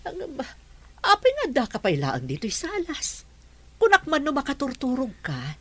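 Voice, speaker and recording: A woman's voice: high voice, huge pitch swings, normal speed, fairly bright tone, full character voice. A woman playing a caring, worried middle-aged mother in a radio drama. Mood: concerned.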